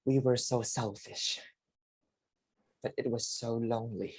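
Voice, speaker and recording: A man performs a dying father in a play reading. His voice is husky and mid-pitched, and he takes his time over each phrase. He sounds weary and earnest, pleading to be understood. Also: fairly even intonation, a little mellow. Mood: tender, remorseful. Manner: slow, deliberate, emotive delivery.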